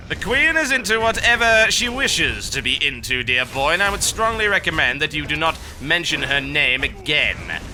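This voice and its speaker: A man's voice: a little high, big pitch swings, normal speed, few pauses, bright, forceful tone, slight background noise, full character voice. A man voicing a pompous old British army officer in a haughty, clipped posh British accent, while playing a co-op game. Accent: put-on posh British. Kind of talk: in-character co-op game commentary.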